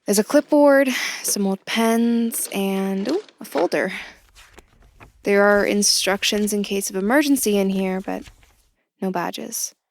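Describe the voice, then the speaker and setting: A woman's voice: mid-range voice, takes it slowly, a little hiss or hum. Audio drama: young female newcomer, curious yet wary, natural conversational delivery, mid-range voice. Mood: exploring, intent. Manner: conversational, inquisitive. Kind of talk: audio fiction podcast acting.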